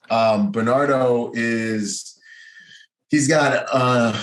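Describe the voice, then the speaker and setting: An American man hosting a podcast in casual, slangy, buddy-like but earnest conversation. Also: fairly low pitch. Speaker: podcast host and trainer.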